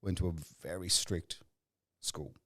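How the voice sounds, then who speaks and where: Deep-voiced podcast host, Sri Lanka-born. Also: male voice, measured pace, pushed and bright, dry recording, studio quality. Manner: musing, long winding questions. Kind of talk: conversational interview questions.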